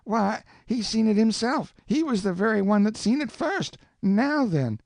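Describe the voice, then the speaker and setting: Man putting on a squeaky stubborn country kid, all worked up, dry mic. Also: lively intonation, a little slow, creaky, no room sound, exaggerated voice. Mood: indignant. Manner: indignant, rustic boyish dialect. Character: boy, stubborn and indignant.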